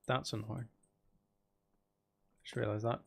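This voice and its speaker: A man's voice: lowish voice, flat delivery, takes it slowly, vocal fry. A man chatting casually and thinking aloud as he hosts a live game stream, talking to his viewers.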